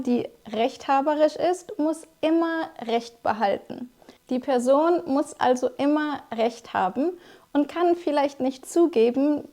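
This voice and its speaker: A young woman with a high-pitched voice gives a scripted language lesson. She explains each word clearly and speaks directly to her viewers, as a teacher would. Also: warm tone. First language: German. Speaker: German teacher.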